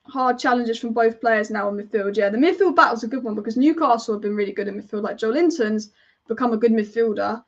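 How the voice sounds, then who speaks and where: Chatty higher-voiced woman fan reacting live. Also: fast, muffled recording. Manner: chatty, rambling and partisan, reacting to play. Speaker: football fan streamer. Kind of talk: live match watch-along commentary.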